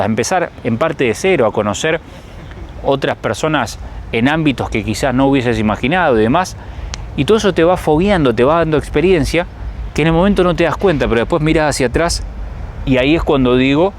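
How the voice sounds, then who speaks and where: Argentine man from Rosario, thirties, casual vlog talk, middle pitch, faint street noise behind. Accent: Argentine Spanish, Rosario. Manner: reflective, thinks aloud, addresses viewers directly. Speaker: travel vlogger.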